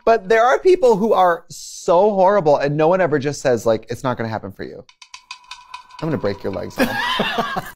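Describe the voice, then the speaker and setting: A man giving an exasperated, animated comic rant in a conversational way. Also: fairly high pitch, normal speed, pauses often, slight background noise.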